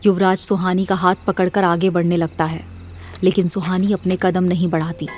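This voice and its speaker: Low-pitched woman narrating horror over music. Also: little pitch movement, moderate pace, darkish tone. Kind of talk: scripted horror story narration.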